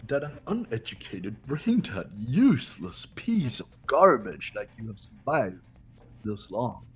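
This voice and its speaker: A man's voice: medium pitch, very animated, measured pace, husky, soft background music, exaggerated voice. A man playing an arrogant, cold young heir in a fan-voiced game, speaking in a formal, condescending way. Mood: contemptuous. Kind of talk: amateur voiced video game playthrough.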